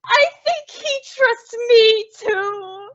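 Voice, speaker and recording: A woman's voice: very high voice, drawn-out words, a bit bright, no echo, faint music behind, exaggerated voice. A woman playing a sensible, exasperated young roommate who also narrates an old-time radio comedy in a wry, bossy way. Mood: exasperated, wavering. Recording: dull, lo-fi, dry audio.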